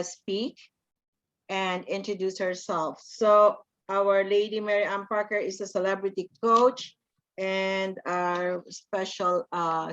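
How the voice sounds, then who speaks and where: A woman hosting an online event over a video call, speaking haltingly in dull, lo-fi audio. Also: medium pitch, fairly bright tone. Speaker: event host. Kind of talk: live introduction of a speaker.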